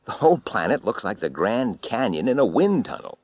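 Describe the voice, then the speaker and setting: A man with a deep voice reads a first-person story dramatically. His intonation moves widely, brooding and expressive, with weighted pauses. The sound is very dry, with no room around it. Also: dry recording, dull audio. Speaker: audiobook reader.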